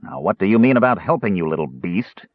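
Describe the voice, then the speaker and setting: A man's voice: deep voice, very animated, brisk, no room sound, phone-quality sound. A man voicing a toothless, cunning old jailer in an audiobook, with a thin, whiny, cackling voice and folksy grammar. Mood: morbid, wistful.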